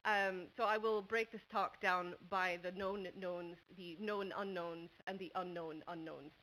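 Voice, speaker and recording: A woman in her thirties from New England, with a New England American accent, giving a quick, chatty conference talk full of ums at a panel desk mic. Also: on the low side, fairly even intonation, fairly bright tone, low-quality recording. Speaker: conference speaker. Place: large university lecture hall. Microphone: table microphone at a panel desk.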